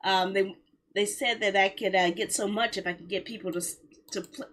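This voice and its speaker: A woman's voice: mid-range voice, a little fast. A woman chatting casually to her viewers on a livestream, rambling in a mildly complaining, self-justifying way. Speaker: livestreamer, game streamer. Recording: steady background noise.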